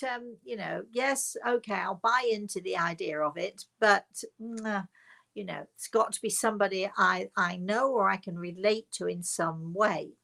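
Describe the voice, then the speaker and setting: A woman's voice: mid-range voice, creaky, fairly bright tone. A woman telling a personal story informally to an online audience, conversational and emphatic, stretching and repeating words for emphasis. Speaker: spiritual medium telling her story.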